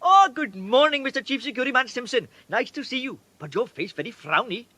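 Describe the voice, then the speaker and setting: Very high voice, fast chatter, Indian accent, eager male cleaner, deferential, broken English, radio comedy. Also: expressive, bright, forceful tone, no room sound, heavily acted. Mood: eager, obliging. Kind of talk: comic radio play acting.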